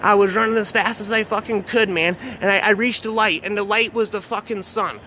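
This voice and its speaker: A man telling a dark first-person story off the cuff in an agitated, repetitive, swearing, slangy way. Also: sits high, fairly even intonation, a little fast. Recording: noisy outdoor recording with traffic ambience.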